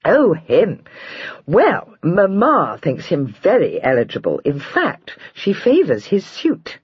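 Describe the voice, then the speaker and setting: A narrator voicing a bubbly, spoilt young sister who chatters in a gushing, breathless way. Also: female voice, on the low side, huge pitch swings, slow pace, husky, no echo, muffled recording, pitched above the performer's own voice, full character voice.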